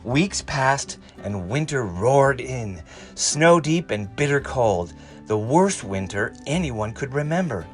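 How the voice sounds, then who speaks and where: A man reading a storybook aloud with dramatic, expressive delivery. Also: mid-range voice. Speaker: storybook reader.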